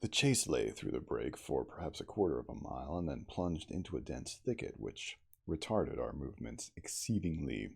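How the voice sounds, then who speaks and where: Very low male voice, husky and creaky, ASMR audiobook reader, deliberate literary phrasing, expressive intonation. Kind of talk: hushed ASMR dramatic story reading.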